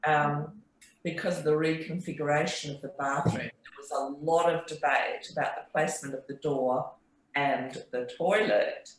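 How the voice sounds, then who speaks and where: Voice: medium pitch, fairly even intonation, normal speed. A podcast co-host chatting casually, explaining things at length. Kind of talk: casual chat. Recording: very echoey room with faint hiss.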